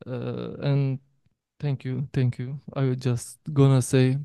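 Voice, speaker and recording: A Kurdish man from Kurdistan speaks halting, simple English that is not his first language. His voice is mellow and very resonant, with a flat, slow delivery. The sound is dry and close. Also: medium pitch, gravelly, clear, resonant tone, dry recording. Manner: halting, simple phrasing in non-native English. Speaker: musician. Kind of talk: brief thanks at a live event.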